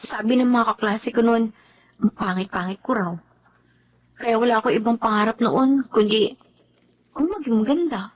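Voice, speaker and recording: A woman's voice: fairly high pitch, moderate pace, long pauses, lo-fi sound, natural voice. A woman playing an earnest, remorseful young woman in a radio drama. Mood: hurt, self-doubting. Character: earnest, remorseful young woman named Anika, reforming herself. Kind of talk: radio drama dialogue and reflective narration.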